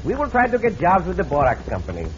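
An actor playing a gallant, good-humored cowboy hero with formal phrasing in an old radio western. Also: male voice, sits high, wildly swooping pitch, fast. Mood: indulgent. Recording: muffled, noisy vintage radio recording.

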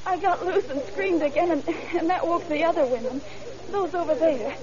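Frightened female patient, very high voice, halting stammer, dull vintage radio drama sound with background murmur. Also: a loud crowd. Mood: shaken, frightened. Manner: halting, stammering, repeats words. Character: shaken female hospital patient recounting an attack. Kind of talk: 1930s radio acting.

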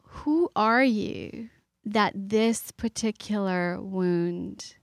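Middle-aged woman from iran, earnest guest, talks slow and reflective, dry studio. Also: medium pitch, gravelly, dry recording. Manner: earnest, thoughtful, pausing with fillers. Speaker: author and guest. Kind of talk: reflective interview talk.

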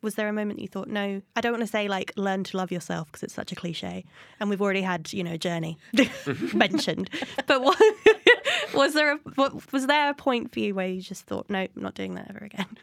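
A woman co-hosting a podcast, asking gentle, playful questions. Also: medium pitch, expressive, fairly quick, vocal fry, dry recording, clean studio sound. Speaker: podcast co-host. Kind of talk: casual interview chat. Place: podcast studio.

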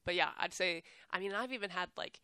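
A woman's voice: lowish voice, a little fast, pushed and bright, smooth, dry recording. A woman in her thirties from San Antonio, talking as an interview guest in an earnest, upbeat way, laughing often and repeating words as she goes. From San Antonio, Texas. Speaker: guest, athletics staff director. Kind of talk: casual interview chat.

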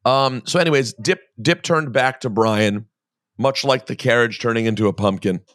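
A middle-aged man tells a long story on a podcast at a middle pitch, stopping and restarting as new details come to him. His voice sits dry and close to the mic, with no room sound. He laughs easily between lines. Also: moderate pace, fairly bright tone, dry recording. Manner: rambling storyteller, self-interrupting, laughs often. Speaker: podcast host. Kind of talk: casual podcast chat.

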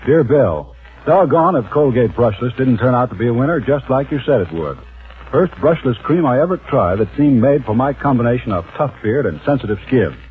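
American guy reading an old radio ad letter, lively, folksy, muffled sound. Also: medium pitch. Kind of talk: 1940s radio advertising testimonial. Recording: muffled vintage radio recording.

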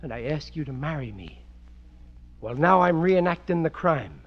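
A man's voice: on the high side, lively intonation, moderate pace, close to an everyday voice. An actor playing a blustering, stammering middle-aged American working-class husband and father in an old radio sitcom. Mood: coaxing. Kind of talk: 1940s radio sitcom acting. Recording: muffled, hissy old radio recording.

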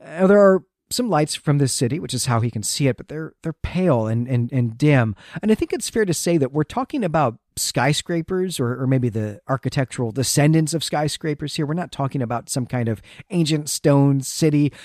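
A man's voice: fairly high pitch, animated, fairly quick, few pauses, darkish tone, dry recording, clean studio sound. A man co-hosting a literary podcast, talking through a story in a casual, chatty and enthusiastic way. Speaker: podcast co-host. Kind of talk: casual literary recap and discussion.

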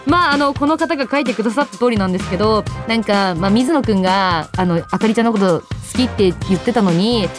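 A woman's voice: mid-range voice, lively intonation, fast, few pauses. A woman hosting a radio programme, chatting casually to her listeners. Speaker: radio show host. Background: music.

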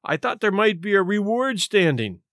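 Storybook role: meek-looking, sly down-and-out man, done by a male reader, quiet, dryly ironic, unhurried, with lilting high voice. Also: big pitch swings, no echo, clean studio sound, full character voice. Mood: easy, sly. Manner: quiet, sighing, unhurried, wry formal wording. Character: shrunken shabby male vagrant, quiet, dry, sly companion.

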